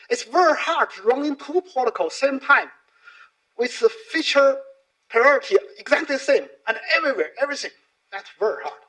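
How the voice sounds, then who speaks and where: A man's voice: very high voice, a bit bright, low-quality recording. A middle-aged man, a native Chinese speaker with a Chinese accent, giving an informal, explanatory conference talk in non-native English, often checking in with short tag questions.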